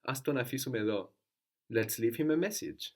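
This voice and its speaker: A man's voice: mid-range voice, expressive, brisk. A man teaching a language lesson, patiently prompting his student with questions and repeating the answers back.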